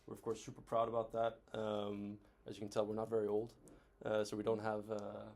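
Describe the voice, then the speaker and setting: A man's voice: fairly low pitch, monotone, a little fast, darkish tone. A man in his thirties from Denmark, giving an informal, self-deprecating presentation as an executive and guest speaker, with hesitant phrasing. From Denmark, raised across several countries. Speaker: venture capital COO, guest speaker.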